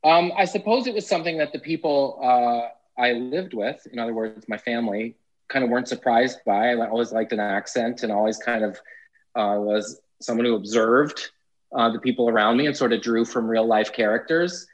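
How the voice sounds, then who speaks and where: Playful man with a naturally high voice. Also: poor audio. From Canada. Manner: playful, self-deprecating, quick asides. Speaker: actor and impressionist guest. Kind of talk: casual interview chat.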